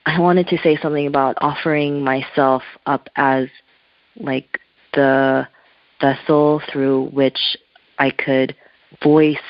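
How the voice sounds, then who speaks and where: A woman's voice: deep voice, dry recording. A woman whose first language is Korean, a spiritual artist being interviewed by telephone, talking in a searching, reflective way with loose phrasing. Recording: muffled telephone line.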